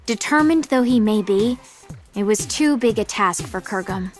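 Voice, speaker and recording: A woman's voice: medium pitch, animated, normal speed, soft background music, natural voice. A woman acting a brash, exasperated young woman in an audio drama, sarcastic and blunt as she talks and narrates. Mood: wry, puzzled.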